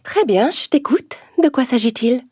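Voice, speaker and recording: A woman voicing a haughty, expressive young princess in a children's storybook. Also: normal speed. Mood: impatient. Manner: indignant, expressive, higher-voiced. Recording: music under the voice.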